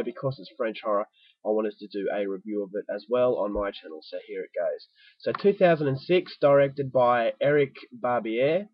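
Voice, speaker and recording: A man's voice: mid-range voice, slightly rough, dry recording. A man with a stuffy, congested voice, reviewing a film in a casual chatty way and repeating words for emphasis. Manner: conversational, doubles words for emphasis. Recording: dull, dry lo-fi home recording.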